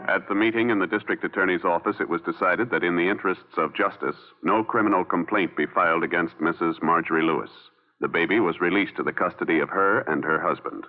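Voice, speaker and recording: A man's voice: deep voice, fast talker, background noise. A male announcer reading formally and solemnly in the style of 1950s radio. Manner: solemn, official. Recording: narrow-band old radio, loud music.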